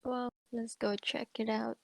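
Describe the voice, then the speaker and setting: A woman's voice: on the high side, level tone, measured pace, vocal fry, dry recording. A woman playing a tabletop roleplaying game, talking in casual chat.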